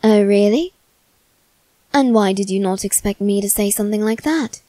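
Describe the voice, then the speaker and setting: A woman's voice: mid-range voice, moderate pace, mellow, no room sound, lightly acted. A woman playing a teasing, haughty young male demon servant in a theatrical, mock-polite ASMR roleplay. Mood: teasing, smug. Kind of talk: ASMR character roleplay addressed to the listener.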